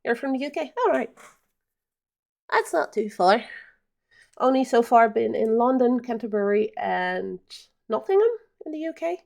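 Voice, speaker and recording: A woman's voice: fairly high pitch, expressive, normal speed, gravelly, long pauses, a little mellow. A Dutch woman with a Dutch accent, chatting casually on a live stream and answering chat with many ums. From Netherlands.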